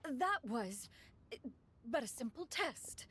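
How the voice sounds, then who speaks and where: A woman's voice: high-pitched, very animated, bright, forceful tone, slightly rough, exaggerated voice. A woman voicing a formal, aloof immortal lady in a video game who speaks of herself in a grand, old-fashioned way. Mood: flustered. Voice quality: archaic formal speech, refers to herself as "one". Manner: measured and grand, flustered when caught out.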